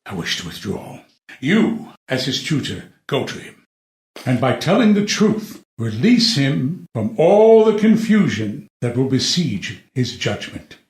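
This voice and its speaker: A slow, measured king acted by a man reasons formally about his plans in a classical stage play. His pitch rises and falls widely as he declaims, and the room around him echoes. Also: very animated, darkish tone. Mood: commanding, resolute. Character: calculating, philosophical king testing his imprisoned son. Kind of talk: unrehearsed dramatic play reading of classical comedy.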